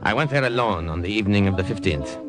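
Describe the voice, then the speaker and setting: An actor playing a suave, wry secret agent in a 1950s radio drama. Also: male voice, lowish voice, lively intonation, quick pace, lightly acted. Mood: measured report. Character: suave, wry French spy, former poor poet. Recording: old radio broadcast with music behind.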